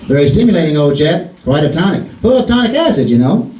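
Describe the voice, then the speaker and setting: Give me an actor playing a blustering, animated radio-comedy husband. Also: male voice, on the high side, quick pace. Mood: pompous. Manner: pompous, affectedly formal. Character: blustering husband putting on pompous, mangled fancy English. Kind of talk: 1940s radio comedy acting before a live audience. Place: live theatre stage. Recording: echoey stage, distant mic, noisy.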